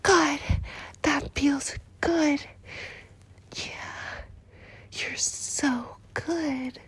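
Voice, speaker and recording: A woman voicing a doting, flirtatious nurse in a whispered roleplay, with a soft, breathy, slow and coaxing delivery. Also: high-pitched, steady tone, no room sound. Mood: whispery, doting. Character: doting, flirtatious female nurse, motherly and teasing.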